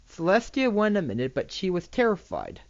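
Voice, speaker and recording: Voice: mid-range voice, huge pitch swings, darkish tone, no echo. An amateur reader with a lisp reading a story aloud, stumbling over words and correcting as they go. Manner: halting, often misreading and correcting words. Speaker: amateur reader of fan fiction. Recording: dull lo-fi microphone recording.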